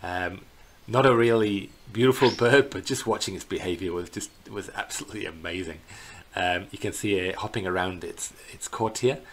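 A middle-aged man giving an unscripted slideshow narration as a tour guide, in a hesitant, halting way, recorded indoors on a computer microphone. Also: low voice. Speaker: bird tour guide presenting a virtual tour. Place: indoors in a small plain room. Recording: clear background noise.

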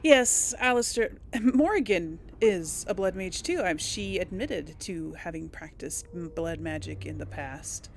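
A woman giving casual let's play commentary and reading game text aloud, with a creaky voice. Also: fairly low pitch, animated, measured pace, hardly any pauses, slight background noise. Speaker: gaming host. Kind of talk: reads game text and dialogue choices aloud.

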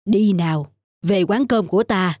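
A woman voicing a kindly, generous middle-aged man who runs an eatery in a cartoon, gentle and fatherly. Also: mid-range voice, moderate pace, lightly acted. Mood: kind, reassuring. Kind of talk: cartoon voice acting.

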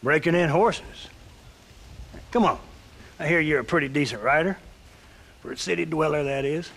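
A man's voice: on the high side, normal speed, gravelly, a bit bright, slight background noise. An older man with an American Western accent playing a blunt, hearty, opinionated old rancher in a video game. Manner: blunt, folksy, preachy. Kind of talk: dramatic video game acting.

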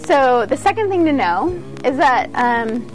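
A woman's voice: high voice, sing-song, measured pace, soft background music, poor audio. A woman giving an informal instructional talk as a yoga teacher, conversational and earnest.

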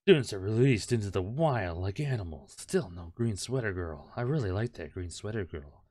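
A man's voice: lowish voice, animated, normal speed. A man hosting a gaming video, reading a visual novel aloud in a mock-dramatic way and breaking off for joking asides. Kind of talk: comic read-aloud of game text with joking asides. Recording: music playing behind. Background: loud background music.

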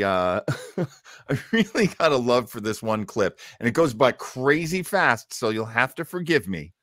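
A man's voice: lowish voice, fairly bright tone, dry recording, clean studio sound. A middle-aged man hosting a show on a video call, reading quotes aloud and introducing clips. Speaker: podcast host. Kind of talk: casual chat on a video call. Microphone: headset and studio mic.

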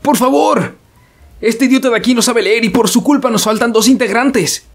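A woman voicing a friendly, blunt, energetic teenage boy in a cartoon fandub. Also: fairly high pitch, moderate pace, breathy edge, faint music behind. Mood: pleading, blunt. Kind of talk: fandub comic acting.

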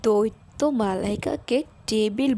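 A woman's voice: mid-range voice, slow pace, mellow. A woman narrating a fairy tale for a cartoon, reading from a script.

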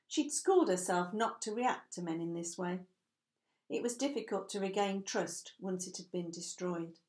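A middle-aged woman reads a romance novel aloud at home. Her voice sits at a medium pitch. She tells the story in a measured, expressive way, giving both narration and dialogue as a storyteller would. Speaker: reader of a novel. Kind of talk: audiobook-style reading of romantic fiction. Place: small furnished room at home, indoors.

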